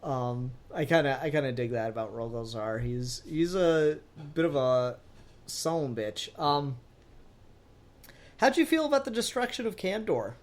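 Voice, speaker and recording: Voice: medium pitch, expressive, measured pace. A podcast host chatting casually about comic books in a rambling, informal, mildly sweary way. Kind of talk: casual comic book discussion.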